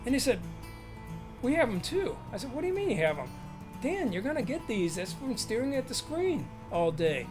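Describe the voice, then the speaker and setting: A man tells his story in a documentary interview. He is animated and slips into other voices for the quoted lines, and music plays clearly under him. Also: very high voice, moderate pace. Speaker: lawyer.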